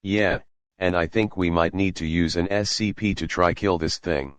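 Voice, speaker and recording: Deep smooth-voiced man presenting news. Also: steady tone, moderate pace, no echo. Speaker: newscast presenter. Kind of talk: scripted newscast talk.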